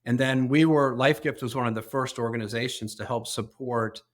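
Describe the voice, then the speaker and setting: A man's voice: medium pitch, fairly even intonation, normal speed. A man, an organ donation executive, explaining earnestly as an interview guest, often repeating words as he starts a thought.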